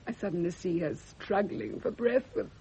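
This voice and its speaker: Very husky, distraught Englishwoman. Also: medium pitch, normal speed, warm tone. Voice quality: husky, breathy. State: distressed, near tears. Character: shaken, haunted Englishwoman, grieving and intense. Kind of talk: mid-century radio drama acting. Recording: dull old radio recording.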